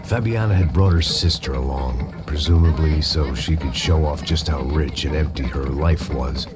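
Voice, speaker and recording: An actor playing a world-weary, sardonic middle-aged American bodyguard in a hard-boiled noir game, dry and deadpan. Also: male voice, a bass voice, moderate pace. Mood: weary, contemptuous. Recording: loud music behind.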